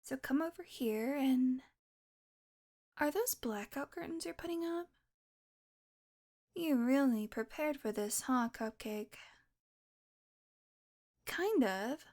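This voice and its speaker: A woman's voice: high voice, takes it slowly, pauses often, a little mellow. A woman acting a sly, sarcastic, flirtatious female vampire in an intimate audio roleplay, teasing and sometimes dropping into a murmur. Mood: flirty, cheeky, then wry, tired.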